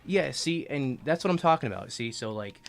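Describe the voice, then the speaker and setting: A man streaming a video game, chatting casually and jokingly while playing, his throat getting a little rough as he's coming down with a cold. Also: mid-range voice, lively intonation, a little fast, faint music behind. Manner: joking, rambling with restarts. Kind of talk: casual gaming livestream chat.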